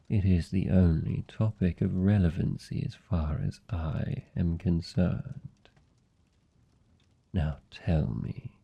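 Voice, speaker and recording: A man playing a haughty, seductive vampire lord in a fantasy ASMR roleplay, with a British accent, a low gravelly husky voice and a deliberate, teasing, condescending delivery. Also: muffled recording, heavily acted. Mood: bored disdain. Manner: slow, deliberate, aristocratic, teasing.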